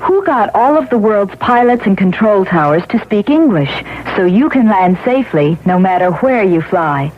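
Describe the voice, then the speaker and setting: A woman reads a scripted announcement for radio. Her pitch is average. The sound is narrow and tinny, with faint noise. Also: expressive, moderate pace, hardly any pauses. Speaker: public service announcer.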